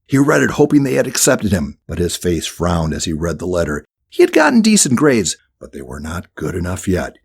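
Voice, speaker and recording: A man's voice: sits low, huge pitch swings, brisk, vocal fry, few pauses, slightly rough. A man reading a scripted children's audiobook as the narrator.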